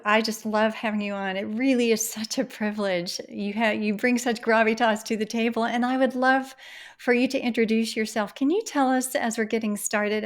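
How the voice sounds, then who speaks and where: A woman hosting an interview podcast, warmly effusive and asking long, multi-part questions. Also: medium pitch. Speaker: podcast host.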